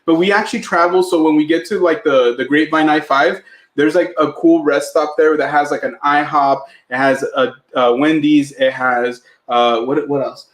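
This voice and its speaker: Chatty podcast co-host, higher voice. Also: male voice, steady tone, normal speed, reverberant. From Mexican family. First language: Spanish. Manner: chatty, filler-heavy, restarts often. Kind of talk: live podcast chat.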